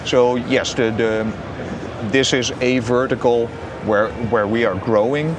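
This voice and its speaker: A man in his forties answers interview questions in a measured way, repeating words as he finds his phrasing. His pitch is average for a man. He is recorded in a busy exhibition hall with clearly audible ambient noise. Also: fairly even intonation. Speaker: company business strategist being interviewed. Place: open booth in a large busy exhibition hall. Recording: noisy trade show hall ambience.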